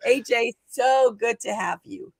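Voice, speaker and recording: A woman hosting an interview show, energetic and emphatic, her voice slightly hoarse today. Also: fairly high pitch, unhurried, no echo. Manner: energetic, emphatic, restarts phrases. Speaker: podcast host. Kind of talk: scripted show opening and interviewing.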